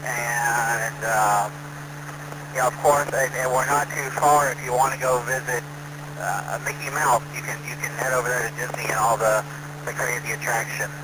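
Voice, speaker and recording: Male, American, medium pitch, casual hesitant mobile radio chat, very noisy signal with electrical hum. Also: flat delivery, normal speed. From Florida, USA. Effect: two-way radio. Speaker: amateur radio operator. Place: in a truck.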